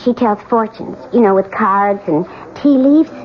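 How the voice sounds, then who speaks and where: An actress playing a chatty, flighty, concerned friend with a gushing, hesitant manner in a radio drama. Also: female voice, mid-range voice, unhurried, lightly acted. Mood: tentative. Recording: muffled old radio broadcast.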